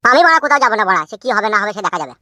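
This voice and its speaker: An actor voicing a crude, sulky young cartoon husband with a rural West Bengal Bengali accent, defiant and swearing. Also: male voice, sits high, big pitch swings, rapid-fire, bright, forceful tone, no echo, exaggerated voice. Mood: stubborn, irritated. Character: stubborn, crude young village husband and son. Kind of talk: comic cartoon dubbing.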